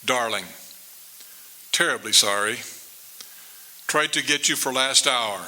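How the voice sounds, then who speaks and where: A man playing a polite, businesslike telegraph clerk who reads a message in a measured, formal way. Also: mid-range voice, bright, forceful tone, rough recording. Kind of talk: live radio drama reading.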